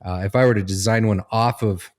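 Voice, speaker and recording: A man explaining things in casual podcast chat, in a halting, thinking-aloud way. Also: fairly low pitch, steady tone, a little mellow. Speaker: podcast co-host.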